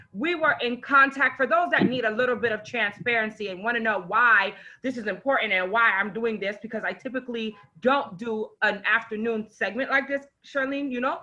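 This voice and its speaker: A woman hosts a live interview show and questions her guest earnestly and emotionally. Her voice is bright and forceful and sits a little high. The audio is dull and lo-fi. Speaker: show host.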